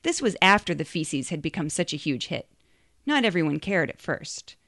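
A woman's voice: sits low, sing-song, moderate pace, a bit bright, no echo, studio quality. A woman narrating a short story in a conversational first-person style.

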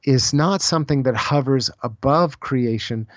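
A man speaking as an interview guest, explaining ideas in a thoughtful, halting conversational way and often repeating phrases as he goes. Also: medium pitch, no room sound. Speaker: podcast guest, author on Celtic spirituality. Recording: slightly dull, lo-fi audio.

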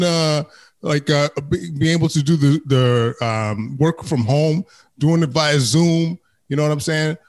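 A man talking casually and emphatically in a discussion, colloquial and checking that the listener follows. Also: fairly high pitch.

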